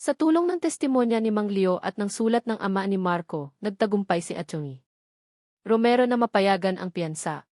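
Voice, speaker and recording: A woman's voice: medium pitch, lively intonation, moderate pace, a little mellow, dry recording. Woman narrating a romance, dry close sound. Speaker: story narrator. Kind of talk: dramatic scripted romance narration.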